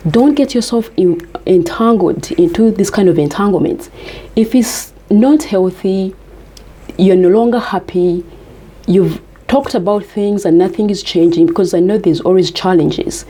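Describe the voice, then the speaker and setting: Mellow husky woman. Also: medium pitch, lively intonation, a little slow. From Uganda. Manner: earnest, emphatic, repeats key points. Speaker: vlogger giving life advice. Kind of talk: advice monologue to camera.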